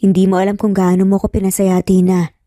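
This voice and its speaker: A woman plays an earnest young man in love from a romance audio story. He is gentle, teasing and sincere, mixing in English. The voice is mellow and dry. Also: medium pitch, completely flat delivery, fast, dry recording, lightly acted. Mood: tender, sincere.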